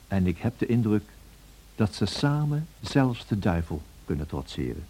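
An actor playing a reflective gentleman visitor, narrating a radio drama in a measured, literary manner. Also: sits low, poor audio.

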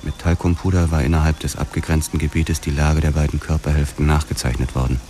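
A man narrating in the first person in a measured, hard-boiled style for a German radio drama. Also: extremely low pitch, level tone, warm tone.

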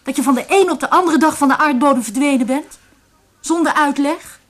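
A woman's voice: sits high, a little fast, close to an everyday voice. A woman playing a reproachful character in a radio drama, asking short, pointed questions. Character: reproachful woman facing a long-vanished man. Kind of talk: Dutch radio drama acting.

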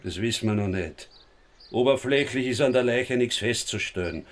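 An actor playing a sardonic, pedantic police inspector in a radio drama, with an Austrian accent and a dry, wordy delivery. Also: male voice, medium pitch, normal speed, close to an everyday voice. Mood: matter-of-fact.

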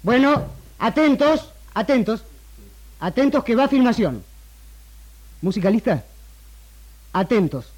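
An actor playing a bossy film director and loyal friend in a 1960s Argentine radio drama. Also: male voice, extremely high pitch, very animated, takes it slowly, pauses often, darkish tone, heavily acted. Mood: commanding. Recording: 1960s radio archive, music behind.

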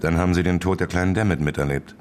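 An actor playing a terse, probing investigative reporter in a radio drama, speaking in short pointed questions. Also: male voice, low-pitched, steady tone, very fast, slight background noise, lightly acted. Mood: probing, sober. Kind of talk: German radio drama dialogue.

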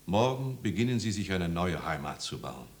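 Low-voiced, fatherly village pastor. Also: very animated, moderate pace, husky, full character voice. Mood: firm, encouraging. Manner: fatherly, earnest, exhorting. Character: kindly, steadfast village pastor, fatherly and reassuring. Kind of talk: 1950s German radio drama acting. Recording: old radio recording with hiss and hum.

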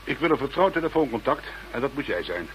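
A man's voice: mid-range voice, big pitch swings, fast talker, fairly bright tone, a little hiss or hum. A man playing an informal, businesslike Dutch investigator in charge of a case in a radio play.

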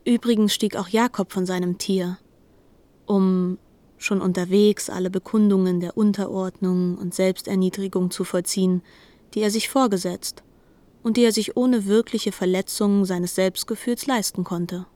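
A woman's voice: medium pitch, fairly even intonation, moderate pace, warm tone. A woman reading scripted literary narration as a narrator in a radio drama.

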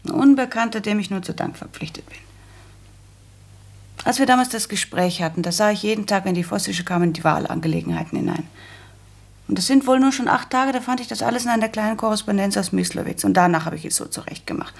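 An actress playing a shrewd, self-assured small-town mayor's wife in a German radio play, pointed and knowing. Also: female voice, mid-range voice, fast talker, creaky. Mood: sly, pleased.

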